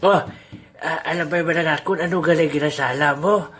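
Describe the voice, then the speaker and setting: A woman's voice: low-pitched, steady tone, moderate pace, fairly bright tone. A woman voicing a grumbling, teasing old grandfather in an amateur audio drama. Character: grumpy old man, Lolo Duming, coffee-loving.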